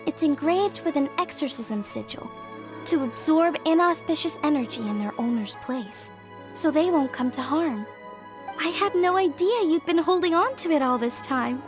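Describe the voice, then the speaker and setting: A woman's voice: sits high, animated, moderate pace. An earnest young craftswoman. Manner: earnest, explanatory, slightly modest. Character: young woman craftswoman from a fortune-telling clan, earnest. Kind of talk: video game voice acting. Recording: game cutscene with faint music.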